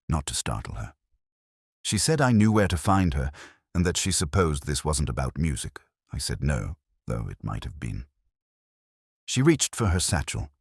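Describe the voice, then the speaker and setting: A man with a very deep voice narrates a detective story in the first person. His delivery is measured and literary, with plenty of rise and fall in the phrasing. The sound is dry, with hardly any room around it. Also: dry recording.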